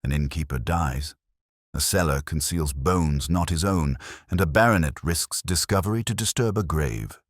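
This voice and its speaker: A man playing an austere, commanding detective in an audiobook story, speaking in measured, clipped pronouncements. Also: a bass voice, no room sound, clean studio sound. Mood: coolly analytical.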